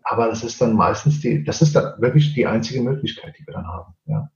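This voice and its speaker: A man's voice: medium pitch, animated, brisk. A Portuguese man speaking German with a Portuguese accent, a professional translator explaining his work in an interview and often tagging sentences with "ja". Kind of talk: interview answers. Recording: roomy, slightly dull sound.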